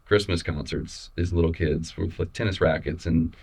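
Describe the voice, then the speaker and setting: A young adult man from Missouri, a photographer talking casually in an interview, relaxed and halting with many restarts and fillers. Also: sits low, vocal fry, breathy edge. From Missouri, USA. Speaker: photographer guest.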